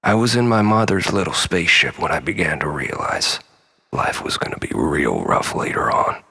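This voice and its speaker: A man's voice: deep voice, big pitch swings, moderate pace, sharp tone, husky. A man delivering a solemn, theatrical, darkly comic monologue in a brooding style.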